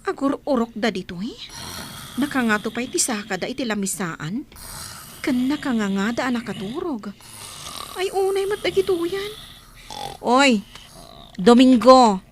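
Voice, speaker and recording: A woman acts out a bossy Ilocano office supervisor in a radio comedy. Her voice is high and swings up and down as she pries and scolds, with faint noise around it. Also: animated, measured pace, long pauses. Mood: suspicious, indignant. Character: bossy, nosy female office supervisor, exasperated with lazy cleaners.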